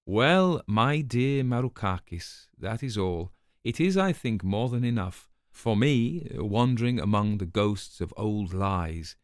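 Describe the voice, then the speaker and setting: A man voicing a disillusioned gentleman writer, reading his letter aloud in a formal, wistful, literary manner. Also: fairly low pitch, expressive, measured pace, hardly any pauses, dry recording, clean studio sound. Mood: weary, wistful.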